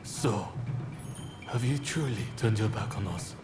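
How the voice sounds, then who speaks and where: An actor playing a grave, dignified samurai in a video game cutscene, speaking in a solemn, measured way. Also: male voice, fairly low pitch, expressive, loud music behind the voice. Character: grave, dignified adult male samurai warrior.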